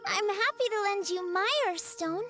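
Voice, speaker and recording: A woman's voice: extremely high pitch, normal speed, music in the background. A woman voicing an earnest, innocent small child in an anime video game.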